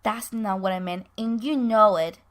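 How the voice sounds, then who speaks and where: A woman's voice: mid-range voice, normal speed, natural voice. Woman voicing an indignant, defensive married man. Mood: guarded, firm. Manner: earnest, emphatic, protesting. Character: defensive, indignant married man facing his old flame.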